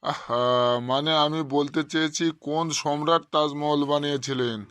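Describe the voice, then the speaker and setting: A man playing an exasperated high-voiced cartoon schoolmaster. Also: steady tone, moderate pace, a bit bright, dry recording, studio quality, close to an everyday voice. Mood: quizzing. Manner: scolding, sarcastic, addressing pupils bluntly. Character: exasperated, short-tempered male village schoolteacher, middle-aged. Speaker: cartoon voice actor. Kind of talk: comic cartoon dialogue.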